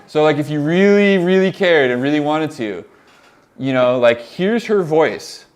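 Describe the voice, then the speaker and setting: A young man with a Californian accent walks through audio software on a live stream. He talks in an excitable, rambling way, full of "like" and little laughs, and his pitch jumps around a lot. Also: a little slow. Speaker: audio mentor.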